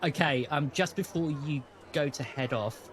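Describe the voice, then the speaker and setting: A man's voice: fairly high pitch, a little slow, a bit bright. A man with a British accent narrating a tabletop role-playing game as game master.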